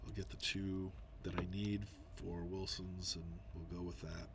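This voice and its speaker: A man's voice: sits low, level tone, measured pace. A man casually explaining his choices in a digital board game, a little congested and nasal, thinking aloud with many fillers. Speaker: board game video player. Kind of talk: casual gameplay commentary.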